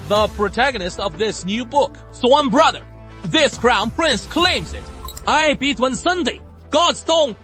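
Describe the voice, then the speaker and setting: An arrogant young crown prince from an animated story, mocking and lordly in every line. The voice sits very high and sounds sharp and bright. Soft music runs underneath. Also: male voice, unhurried, exaggerated voice. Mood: haughty. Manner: haughty and mocking. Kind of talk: anime dub acting.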